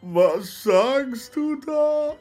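An actor playing a blustering, sentimental puppet master in a children's radio play, theatrical and swinging between menace and tears. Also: male voice, extremely high pitch, animated, drawn-out words, darkish tone, soft background music, exaggerated voice. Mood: sentimental, moved.

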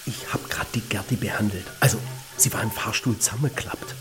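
A man's voice: on the low side, a little fast, natural voice. A man playing an anxious, earnest young doctor with a southern German accent in a children's radio play, hesitant and conspiratorial. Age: young adult. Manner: hesitant, urgent, hushed at times. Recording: music behind the voice.

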